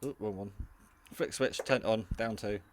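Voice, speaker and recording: A man's voice: lowish voice, moderate pace, background noise. A man giving a casual, hands-on product demonstration in a hesitant, rambling, matter-of-fact way. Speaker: hobbyist radio reviewer. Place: garage.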